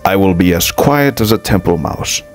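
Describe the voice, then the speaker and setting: A man's voice: low-pitched, lively intonation, normal speed. A man playing an older, loyal knight and advisor in a game fan dub, speaking in a courtly way. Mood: cautioning. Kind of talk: fan dub voice acting of a fantasy story game. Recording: music behind the voice.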